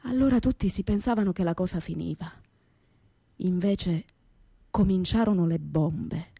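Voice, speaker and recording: A woman's voice: lowish voice, normal speed, gravelly, warm tone, husky, no echo. An actress playing a fiery young working-class woman with a Calabrian southern Italian accent, telling her story colloquially and emphatically in a radio theatre monologue. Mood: earnest recounting.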